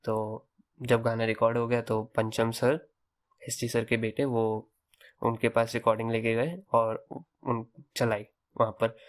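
A man's voice: medium pitch, level tone, a little slow. A young man hosting a podcast, telling a story in a loose, conversational way with English words mixed in. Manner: conversational, Hinglish fillers and restarts. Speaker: podcast host. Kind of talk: casual storytelling.

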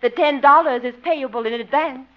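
An old, dull-sounding radio recording of an actress as a flattering dance-studio woman. The voice is high, and she coaxes like a sweet-talking schemer in a vintage comedy. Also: moderate pace, close to an everyday voice. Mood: sweetly businesslike. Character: coaxing, flattering female dance-studio owner fleecing her admirer. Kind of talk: 1950s radio comedy acting.

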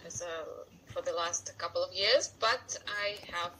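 Woman, forties, Russian accent, chatty, searches for words, bright voice. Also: on the low side, measured pace, slight background noise, rough recording. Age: 40s. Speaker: guest. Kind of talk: casual podcast chat.

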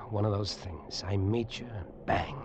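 A man's voice: on the low side, a little slow, lightly acted. Man playing hard-boiled killer, muffled vintage radio. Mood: intense, possessive. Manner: clipped, slangy, hard-boiled. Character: cynical, menacing tough-guy killer who narrates. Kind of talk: old radio drama acting and noir narration. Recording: muffled, noisy old radio recording.

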